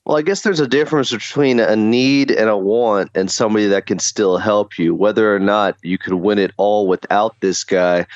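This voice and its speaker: Low-voiced man on a dull dry line. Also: steady tone, normal speed, hardly any pauses, dry recording. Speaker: radio sports host, podcast guest. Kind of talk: casual sports podcast chat.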